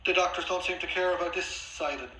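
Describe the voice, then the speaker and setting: Insistent man, sharp and forceful. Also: high voice, fast. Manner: insistent, repetitive, complaining. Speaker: patient on a phone call. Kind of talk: phone conversation, arguing his case. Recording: echoey, faintly noisy room, recorded phone call.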